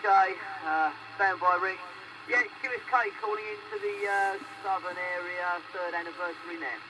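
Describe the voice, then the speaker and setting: A man with a high-pitched voice runs a casual radio net. He sounds far off over CB, with heavy static all around. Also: steady tone, moderate pace. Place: inside a van.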